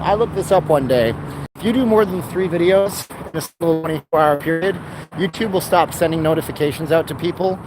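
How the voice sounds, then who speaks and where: A man chatting casually as he walks and live streams on his phone, rambling and answering chat comments, with a dry throat he keeps clearing. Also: high-pitched. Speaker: vlogger live streaming a city walk. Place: outdoors by a busy boulevard. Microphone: handheld phone. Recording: outdoor phone recording with traffic noise. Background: passing cars and street traffic.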